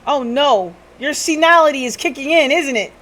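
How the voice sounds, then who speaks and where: Woman acting an excitable, high-pitched, cheeky young sidekick. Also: moderate pace. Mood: exasperated, worried. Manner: loud, exclamatory, animated. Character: excitable, cheeky subordinate detective fretting over the boss.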